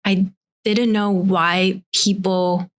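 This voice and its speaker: A woman's voice: mid-range voice, little pitch movement, drawn-out words. A young adult woman from Taiwan, a life coach and podcast guest, talking reflectively and thinking aloud with frequent fillers and restarts. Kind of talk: casual podcast conversation.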